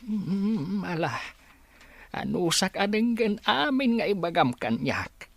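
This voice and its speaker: A man playing a remorseful character in a radio drama, husky and pleading for forgiveness. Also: high voice, sing-song, slow, a bit bright, heavily acted. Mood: apologetic pleading.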